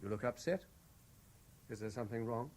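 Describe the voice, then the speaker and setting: A man's voice: mid-range voice, lively intonation, brisk, background noise, natural voice. An actor playing an intense, haunted Frenchman obsessed with a lost love, insistent and brooding, in 1970s radio drama style. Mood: pleading, intense.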